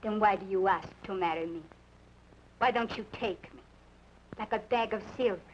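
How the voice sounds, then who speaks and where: A woman's voice: medium pitch, normal speed, close to an everyday voice. A woman playing a proud, defiant young woman. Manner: bitter, defiant. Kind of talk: 1940s radio acting. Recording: dull lo-fi old radio recording with faint hiss.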